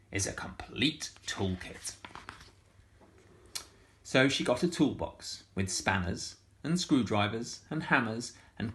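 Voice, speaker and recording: A man reading a picture book aloud to schoolchildren, animated and full of sound effects. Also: fairly low pitch. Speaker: storytime reader for schoolchildren.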